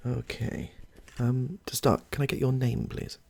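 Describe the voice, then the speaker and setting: A British man playing a polite, chatty therapist in a gentle, soft-spoken ASMR roleplay. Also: medium pitch, little pitch movement, unhurried, gravelly, slight background noise, pitched above the performer's own voice. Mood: welcoming, reassuring. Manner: gentle, reassuring, murmuring small approvals. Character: polite, chatty, slightly odd male therapist greeting a client.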